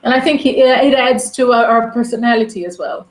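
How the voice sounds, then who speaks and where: A woman's voice: on the high side, fairly quick, a little hiss or hum. A woman from Brazil, speaking English in a casual conversation as an interviewee, thinking aloud with restarts and repeated words. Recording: echoey room.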